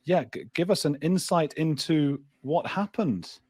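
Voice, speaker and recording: A man's voice: on the high side, unhurried, a little mellow, breathy edge, dry recording, studio quality. A man hosting a remote interview, upbeat and chatty, sometimes stumbling over his first words. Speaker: podcast host. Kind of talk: interviewer chat.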